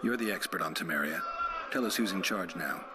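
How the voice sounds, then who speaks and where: Guy doing deep deadpan monster hunter, stoic n terse, fantasy game with noisy ambience. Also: a little fast, lightly acted. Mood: calm, dry. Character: stoic, dry-witted adult male monster hunter. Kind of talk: dramatic game dialogue.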